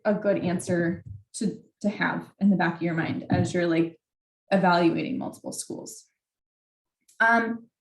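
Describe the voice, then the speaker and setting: Candid young woman in an echoey room. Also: mid-range voice. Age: young adult. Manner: conversational, candid, peppered with "like". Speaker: graduate student mentor leading a workshop. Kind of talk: informal advice presentation. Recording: roomy, echoey sound.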